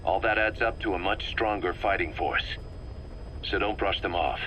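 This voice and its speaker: A blunt mercenary commander. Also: male voice, on the low side, bright, forceful tone, slight background noise, rough recording. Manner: brisk, direct, blunt warnings. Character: gruff male mercenary commander giving a briefing. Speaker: commander giving a briefing. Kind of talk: video game voice acting.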